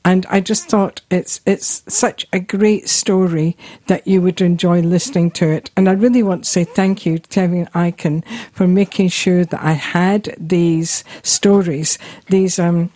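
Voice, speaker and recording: A middle-aged woman hosting a radio show, her accent a blend of Scottish and New Zealand. She chats in a casual, friendly way, with plenty of fillers. Her voice sits low and mellow. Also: fairly even intonation, a little slow, no room sound. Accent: Scottish and New Zealand mix. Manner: chatty, friendly announcements with fillers. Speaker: radio host.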